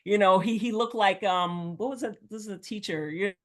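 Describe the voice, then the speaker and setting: A woman's voice: medium pitch, moderate pace. A middle-aged woman who was born in Jamaica and grew up in the United States. She speaks as a real estate coach and guest on a webinar, in a chatty, enthusiastic, rambling way over a video call. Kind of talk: casual webinar talk.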